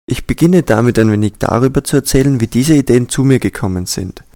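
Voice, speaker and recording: Calm, measured male audiobook reader. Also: medium pitch. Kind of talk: scripted audiobook reading.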